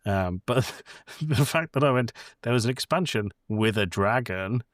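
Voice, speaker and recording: A man's voice: medium pitch, animated, a little slow, no echo, clean studio sound. A man co-hosting in casual banter, blunt and joking, with some loose swearing. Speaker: podcast co-host.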